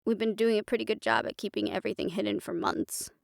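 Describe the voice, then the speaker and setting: Woman doing calm sensible girl in fantasy roleplay, creaky voice, soothing and measured, very dry close sound. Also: medium pitch, no room sound. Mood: calm, reassuring. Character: calm, sensible, gently protective young woman. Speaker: character in fantasy audio roleplay. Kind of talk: dramatic audio roleplay acting.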